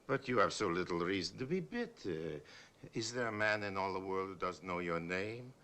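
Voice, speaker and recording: A man voicing a proud, dying old male art forger, speaking slowly and theatrically in hushed tones. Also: deep voice, animated.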